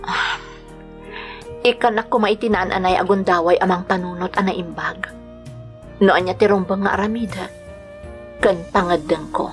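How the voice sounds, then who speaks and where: A woman playing a gentle single mother being courted in an Ilocano radio drama, measured and heartfelt. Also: on the low side, fairly even intonation, faint music behind, natural voice. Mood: hesitant, sincere.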